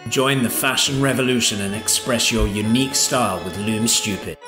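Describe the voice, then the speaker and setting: Upbeat ad announcer over music. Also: male voice, fairly low pitch, normal speed. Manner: upbeat, polished promo delivery. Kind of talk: scripted commercial read. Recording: music bed behind.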